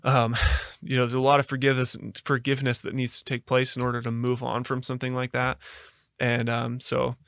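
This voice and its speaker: An American man telling a reflective story as a podcast guest, earnest and halting, with frequent fillers and restarts. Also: medium pitch, completely flat delivery, creaky, a bit bright, clear, resonant tone, no room sound, studio quality. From United States.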